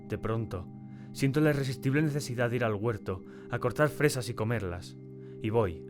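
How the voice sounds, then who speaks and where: A man acting a tormented, terrified diarist in a dramatic first-person audiobook narration, with a solemn and deliberate, theatrical delivery. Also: mid-range voice, music in the background, natural voice. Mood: anguished, subdued. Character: tormented, terrified man confiding in his diary.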